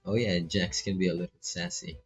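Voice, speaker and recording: A man's voice: low-pitched, steady tone, brisk, soft background music, lightly acted. A man voicing an easygoing, friendly young male student in a visual novel. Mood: defensive.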